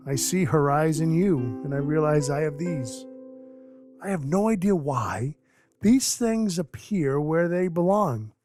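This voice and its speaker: A man acting in an audio drama as an awkward, gruff but kindhearted Christmas dwarf who rambles earnestly and runs himself down. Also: fairly high pitch, expressive, a little slow, a little mellow. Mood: tender, hesitant. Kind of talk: audio drama acting with first-person narration. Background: music under the voice.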